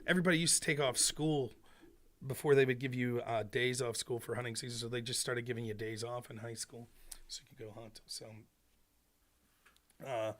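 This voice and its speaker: A man from rural Pennsylvania hosting a call-in talk show, explaining in a relaxed, rambling way with fillers. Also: medium pitch. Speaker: show host.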